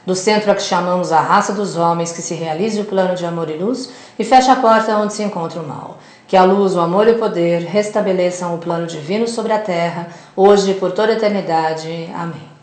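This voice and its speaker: A woman's voice: on the low side, a little fast, few pauses, a little mellow, reverberant. Woman hosting a spiritual show. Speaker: host.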